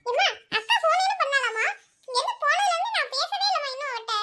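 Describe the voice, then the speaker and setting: A woman's voice: extremely high pitch, expressive, quick pace, pushed and bright, heavily acted. A woman voicing a caring college-age daughter who soothes her mother. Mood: concerned. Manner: coaxing, soothing.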